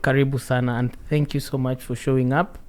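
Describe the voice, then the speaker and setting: A man's voice: medium pitch, level tone, brisk, darkish tone. Kenyan man hosting, music behind. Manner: chatty, mixes in Swahili phrases. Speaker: radio show host. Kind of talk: casual radio interview hosting.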